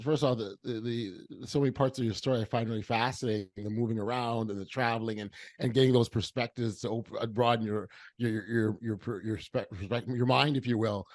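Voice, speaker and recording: A man hosting a podcast, asking questions in a halting way with many restarts. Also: medium pitch, dry recording. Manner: halting, lots of restarts and fillers. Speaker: podcast host. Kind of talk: interview hosting.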